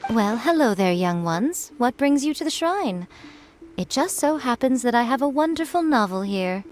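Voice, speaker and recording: A woman's voice: on the high side, expressive, normal speed, soft background music, lightly acted. Woman playing a sly, lilting shrine maiden. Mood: playful. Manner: teasing, composed. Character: poised, teasing shrine maiden, sly and confident. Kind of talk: anime game voice acting.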